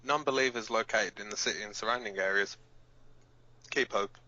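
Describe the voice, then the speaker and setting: A lo-fi radio drama recording of an actor playing a resolute male resistance leader. His fairly low voice is hard-edged and forceful, with a solemn, defiant announcer delivery. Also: brisk. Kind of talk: scripted fictional underground radio broadcast. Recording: dull, lo-fi broadcast sound.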